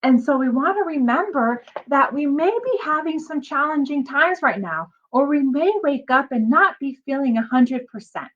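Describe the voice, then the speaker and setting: A woman reading a children's storybook aloud in an expressive way, drawing out her emphasis and pausing to explain things to young listeners, like a teacher. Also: high-pitched. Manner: animated, drawn-out emphasis, explains to children as she goes. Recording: dull lo-fi sound.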